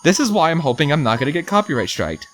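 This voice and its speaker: A man's voice: fairly high pitch, big pitch swings, fast talker, over music. A man presenting a hands-on tech review, informally talking through each step as he goes. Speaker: video reviewer.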